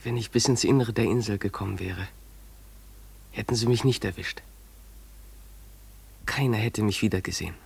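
An actor playing a dreamy, defiant runaway schoolboy in a German radio play, speaking in an imploring, declamatory way. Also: male voice, lowish voice, moderate pace, gravelly, pauses often, husky, heavily acted. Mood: wistful, dreamy.

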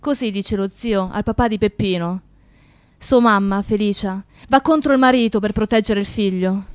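An actress as a grave storyteller, narrating a radio drama in a measured, earnest way. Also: female voice, medium pitch, clear, resonant tone, no echo, close to an everyday voice. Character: grave female storyteller of a Mafia tragedy. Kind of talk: dramatic third-person storytelling in radio theatre.

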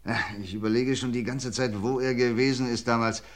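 A shrewd, unhurried detective, voiced by a man in a vintage radio crime drama. His voice sits at a middle pitch, and his speech is dry and deliberate. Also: steady tone, lightly acted. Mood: calm. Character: calm, shrewd male detective named Derek.

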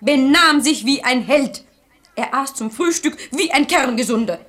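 An actress playing a cheeky, sharp-witted maidservant in a German verse radio drama, pert and pointed. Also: female voice, high-pitched, lively intonation, a little slow. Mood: gleeful mockery. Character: cheeky, sharp-witted maidservant mocking her gullible master.

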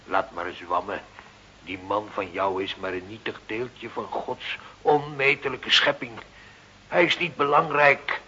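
A man playing an exasperated but soft-hearted Italian village priest in a Dutch radio drama, declaiming solemnly and grumbling. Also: lowish voice, steady tone, unhurried, exaggerated voice. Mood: scolding, gruff. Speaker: actor in a radio play. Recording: dull, noisy old radio recording.

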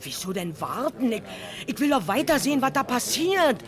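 An actress playing an excitable, nosy working-class woman with a Berlin accent in a 1970s radio crime drama, garrulous and colloquial. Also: a little high, moderate pace. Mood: impatient, curious. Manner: garrulous, colloquial, breathlessly stammering in agitation.

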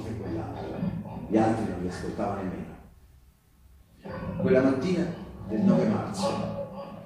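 Guy acting an old-war resistance fighter telling his story onstage, low voice, kinda far from the mic, music behind. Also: close to an everyday voice. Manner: emphatic storytelling with repetitions and deliberate pauses. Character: male wartime partisan recounting resistance memories to Teresa. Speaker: theatre storyteller. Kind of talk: live theatrical narrative monologue. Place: live theatre performance with live music. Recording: very echoey stage recording, distant, music behind.

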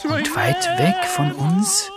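A man's voice: very high voice, wildly swooping pitch, takes it slowly, loud music behind the voice, heavily acted. An actor playing an ardent, naive young male law student in love, effusive and earnest, in a theatrical German radio play. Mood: dreamy, rapturous.

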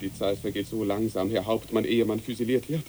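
A man playing a dreamy soldier, speaking poetically and deliberately in a literary radio play. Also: fairly low pitch, fairly even intonation, mellow, lightly acted. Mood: dreamy, brooding. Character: dreamy soldier narrating a visionary memory. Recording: hissy background.

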